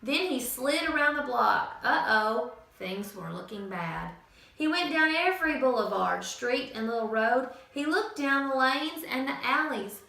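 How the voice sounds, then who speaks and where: A woman teacher reading a picture book aloud to children in an expressive, sing-song storytelling manner. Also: high-pitched, a little slow, creaky. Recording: echoey room from far off.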